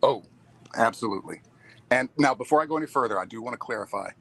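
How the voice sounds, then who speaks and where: A man speaking as a guest on a casual talk show, soft-spoken and relaxed. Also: mid-range voice, fast, creaky. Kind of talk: casual chat.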